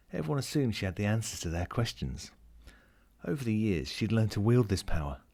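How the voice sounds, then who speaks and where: Guy reading as a lost ring, world weary and wry, lowish voice that moves around a lot, storybook style. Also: a little mellow. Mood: wistful. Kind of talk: first-person short story reading.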